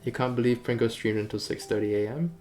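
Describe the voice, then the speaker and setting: A man's voice: medium pitch, steady tone, fast talker, a little mellow. A man chatting casually on a livestream while he works, rambling and thinking aloud as a game developer. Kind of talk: casual chat while working on stream. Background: music playing behind the voice.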